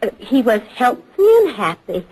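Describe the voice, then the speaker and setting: An actress playing an aggrieved country widow testifying earnestly and deferentially in an old radio drama. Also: female voice, on the high side, huge pitch swings, normal speed, a little mellow, a little hiss or hum, poor audio.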